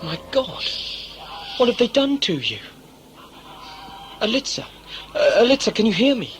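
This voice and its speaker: An actor playing a bewildered, worried outsider held prisoner in a sci-fi audio play, anxious and questioning. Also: male voice, very high-pitched, big pitch swings, normal speed, background noise. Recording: music underneath.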